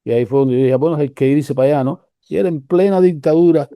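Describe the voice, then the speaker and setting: An older Cuban man speaks in a mellow, slightly high voice, his Cuban Spanish accent clear. He rambles through the story with frequent restarts and pauses. The sound is dry and close. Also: no room sound. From Cuba. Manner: rambling, halting with many restarts. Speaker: guest researcher. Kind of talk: casual conversational storytelling.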